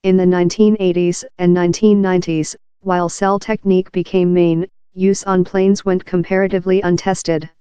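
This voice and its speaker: Flat, very clear narrator reading an article. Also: mid-range voice, moderate pace, hardly any pauses, no room sound. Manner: reading aloud, impersonal and uninflected.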